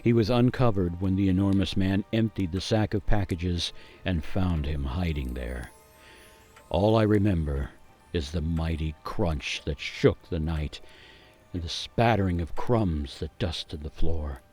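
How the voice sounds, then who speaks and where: A man playing a grave gingerbread man, narrating a radio play as a haunted survivor with theatrical suspense and weighty pauses. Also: low voice, a little mellow, breathy edge, soft background music. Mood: grim, rueful. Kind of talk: dramatic first-person storytelling for a radio play.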